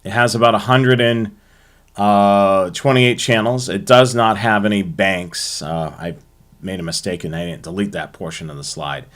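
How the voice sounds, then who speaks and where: An American man hosting a hobby show, presenting to viewers in a halting way with frequent ums and uhs. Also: on the low side, a bit bright.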